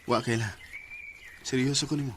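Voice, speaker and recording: A man's voice: medium pitch, steady tone, moderate pace, a bit bright, close to an everyday voice. Actor as flustered young Bisaya man, noisy recording. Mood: hesitant. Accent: Filipino, Bisaya. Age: young adult. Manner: hesitant, stammering. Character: evasive, easily flustered young male student sweetheart. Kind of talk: radio drama acting. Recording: noisy radio drama recording with ambience.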